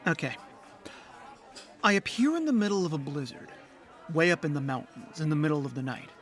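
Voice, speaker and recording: A man's voice: fairly high pitch, very animated, fairly quick, fairly bright tone, some chatter behind. An actor playing an ordinary, lonely young man in an audio drama, earnest and a little hesitant. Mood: wistful, earnest. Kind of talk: audio drama dialogue and first-person narration.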